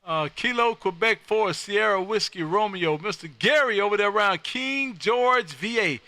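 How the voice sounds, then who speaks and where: A man hosting an amateur radio livestream, chatting casually with a loud, folksy showman's manner. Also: high voice, animated, moderate pace, no room sound. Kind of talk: casual radio contact chat. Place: home radio room.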